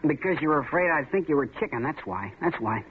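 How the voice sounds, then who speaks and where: A man's voice: on the high side, lively intonation, a little fast, lightly acted. An actor playing a taunting, swaggering young man in a 1940s radio drama, needling his friend as a coward. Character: taunting young male tough, Red. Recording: tinny lo-fi old radio broadcast with faint hiss.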